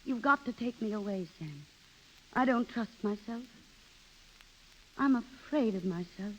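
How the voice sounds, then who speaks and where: An actress plays a vain wife with a very high voice. She is coquettish, high-strung and theatrical, in the emotional style of 1930s radio drama. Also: measured pace, dull audio, slight background noise, natural voice. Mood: fretful, pleading. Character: vain, flirtatious, high-strung middle-class American wife. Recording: 1930s radio broadcast recording.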